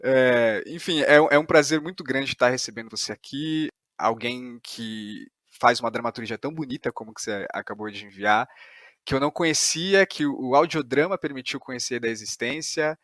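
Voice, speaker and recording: Dry-sounding Brazilian host from São Paulo. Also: male voice, medium pitch, moderate pace, no echo. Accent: Brazilian Portuguese, São Paulo. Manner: warm, hesitant with restarts. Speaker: podcast host. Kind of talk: podcast interview, opens reading a synopsis aloud.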